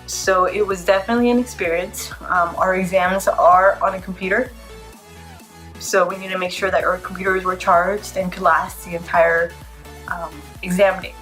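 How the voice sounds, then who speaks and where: A woman's voice: on the low side, flat delivery, normal speed, fairly bright tone, soft background music. A woman in her twenties, congested from a cold, chatting casually and a bit tiredly to camera as a vlogger. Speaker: vlogger, PA student. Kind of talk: casual vlog talk to camera.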